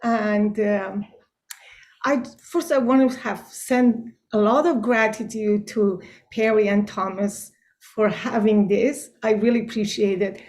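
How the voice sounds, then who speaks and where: A woman's voice: medium pitch, fairly even intonation, takes it slowly, darkish tone. A woman from Iran, speaking on a group video call in a careful, word-searching way. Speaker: participant in a group meditation call. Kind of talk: earnest casual sharing.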